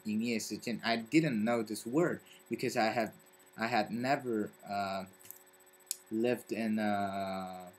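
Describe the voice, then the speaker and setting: A young man from Belgium with a French accent, talking casually to camera in a halting way and searching for his words. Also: on the low side, a little hiss or hum. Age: young adult. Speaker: vlogger. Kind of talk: casual vlog talk to camera.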